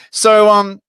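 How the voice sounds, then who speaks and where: Australian man, podcast co-host, sarcastic and crude, talks a lot, bright edge, dry close sound. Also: high-pitched, clean tone, dry recording. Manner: crude, sarcastic and wordy, with coarse jokes. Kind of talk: casual podcast chat.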